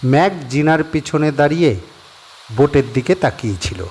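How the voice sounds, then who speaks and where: A man narrating a horror audio story with expressive, suspenseful storytelling. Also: on the high side, moderate pace, slight background noise. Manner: expressive storytelling with rising and falling tension.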